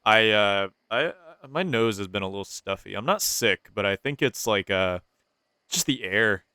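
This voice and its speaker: A man's voice: lowish voice, lively intonation, moderate pace, bright, forceful tone, clear, resonant tone, dry recording, studio quality. A young man with a stuffy, nasal voice, casually commentating his gameplay, thinking aloud and repeating himself. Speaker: gaming YouTuber.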